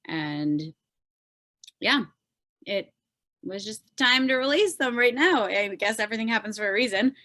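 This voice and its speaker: A woman's voice: a little high, lively intonation, unhurried, long pauses, bright, forceful tone. A woman with a Canadian accent talking casually and informally as a guest on a podcast, explaining things as she goes. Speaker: podcast guest, pop singer-songwriter.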